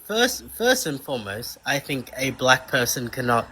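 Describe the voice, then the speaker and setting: A man from Africa, a guest in a live-stream debate, speaks in a measured, hesitant way, often restarting and giving ground. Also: mid-range voice, big pitch swings, sharp tone, a little hiss or hum, low-quality recording.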